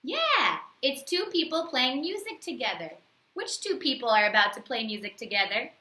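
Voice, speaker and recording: A woman reading a bedtime story aloud to young children, expressively, with teacherly questions and pauses. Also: high voice, very animated, pushed and bright, echoey room.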